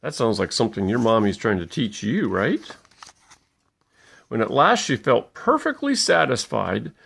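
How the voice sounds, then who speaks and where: A man narrates a storybook for small children in a playful way. His voice is husky and gravelly, with lively swings in pitch as he pauses to point things out. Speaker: parent reading a bedtime story aloud.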